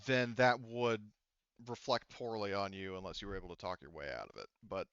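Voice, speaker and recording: A man with a clear, smooth voice works as game master in a casual tabletop session. He rambles and thinks out loud, swearing casually. The recording is close and dry, slightly dull. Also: on the low side, a little fast, no room sound.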